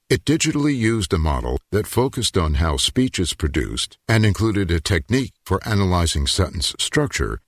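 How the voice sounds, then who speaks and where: Older man narrating, low husky voice. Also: no echo, studio quality. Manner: measured, explanatory delivery. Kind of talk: scripted documentary narration.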